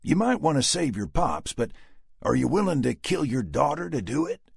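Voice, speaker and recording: Dallas is a hard-bitten southern survivor with a slow, sneering Southern US drawl, as a male narrator puts him on. His pitch climbs well above the reader's own low voice, and a gravelly edge clings to every word. Each line sounds blunt and sardonic. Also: big pitch swings, no echo, studio quality, full character voice. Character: gruff southern man, tough, sardonic.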